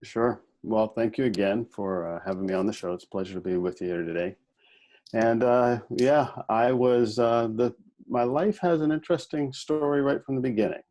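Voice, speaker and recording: A man's voice: medium pitch, moderate pace, mellow. A man in his fifties from the United States, a podcast guest telling his life story in a folksy, good-humored way. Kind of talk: conversational interview.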